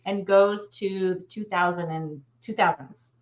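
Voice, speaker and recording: A woman's voice: medium pitch, unhurried. A middle-aged woman co-hosting a casual live show from a room at home on a video call. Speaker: co-host. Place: furnished room at home, indoors. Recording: slightly dull video-call audio.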